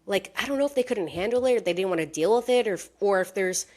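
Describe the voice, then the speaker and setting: A woman's voice: medium pitch, lively intonation, quick pace, darkish tone. A woman co-hosting a casual gossip podcast, piecing rumors together with hedges and acting out quoted lines in put-on voices. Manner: hedging, pieces rumors together. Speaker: podcast co-host.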